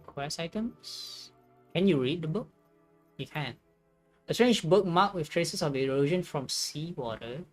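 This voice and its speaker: Female game streamer, low-pitched for a woman, reading aloud, musing commentary, faint music behind.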